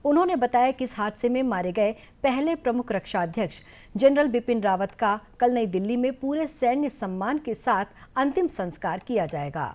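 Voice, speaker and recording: High-voiced woman reading formal news. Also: animated, moderate pace, a little mellow. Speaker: news reader. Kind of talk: scripted news bulletin narration.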